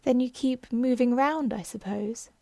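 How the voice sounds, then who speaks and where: A woman voicing a polite, curious and cautious young girl from a storybook, with a gentle manner. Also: fairly high pitch, a little slow, creaky, no echo, studio quality. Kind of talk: storybook character voice.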